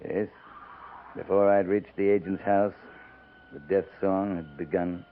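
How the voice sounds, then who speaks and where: An actor playing a weary, principled cavalry captain in 1950s radio drama, clipped and grave in delivery. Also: male voice, sits low, fairly even intonation, measured pace, a little hiss or hum. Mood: grave, somber. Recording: muffled, narrow-band 1950s radio recording.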